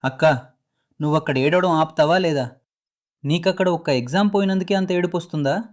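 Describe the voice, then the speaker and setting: Guy doing a teary angry little brother, kinda high voice. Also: fairly quick, natural voice. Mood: upset. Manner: upset, scolding, emotional. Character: angry tearful younger brother.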